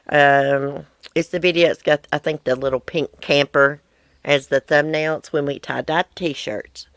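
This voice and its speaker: A woman's voice: deep voice, fairly bright tone, dry recording. A woman hosting a casual live chat from her porch, reading viewer comments in a blunt, rambling way, sounding wheezy. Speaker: livestream host. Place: outdoor porch.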